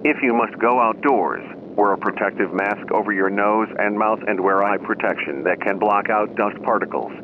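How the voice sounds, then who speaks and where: A man reading a scripted emergency announcement as a radio station announcer, in a formal, measured manner. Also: on the low side. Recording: dull lo-fi radio sound, loud noise.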